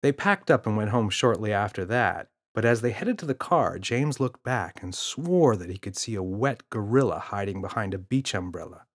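Animated man narrating a children's story. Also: fairly low pitch, moderate pace, hardly any pauses. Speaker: author reading an audiobook.

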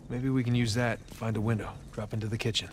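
A man's voice: medium pitch, flat delivery, quick pace, slight background noise, close to an everyday voice. An actor playing a wry, capable treasure hunter in his thirties in a video game, with casual, naturalistic delivery. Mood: focused. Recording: music behind the voice.

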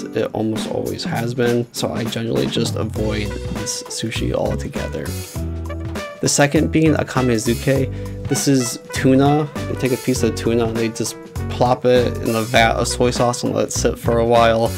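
A young man in his twenties giving relaxed, conversational tips to the camera from a small room at home, talking into a desk mic. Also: medium pitch. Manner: relaxed, conversational, slangy, sometimes dry and drawn-out. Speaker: YouTuber giving food tips. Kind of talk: casual explainer talking to camera. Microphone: desk mic with furry windscreen. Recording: clear guitar music under the voice. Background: guitar music.

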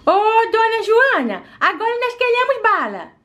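A woman's voice: very high-pitched, sing-song, unhurried, a bit bright. A woman voicing a greedy, cheeky little girl doll in a playful doll storytelling video. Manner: playful, childlike chatter. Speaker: channel host voicing dolls.

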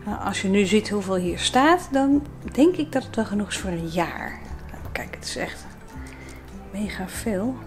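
A woman's voice: mid-range voice, expressive, a little slow, over music. A woman in her forties chatting casually to a handheld camera in a vlog. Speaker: sailing vlogger. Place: indoors in a home living room. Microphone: handheld camera at arm's length.

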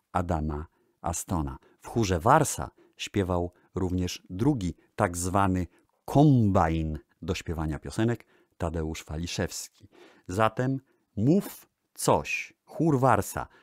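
Male radio-style music presenter, very deep voice, formal measured narration, close dry sound. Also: darkish tone, dry recording. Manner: formal, measured, deliberate phrasing. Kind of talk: scripted narration in the manner of a radio music programme.

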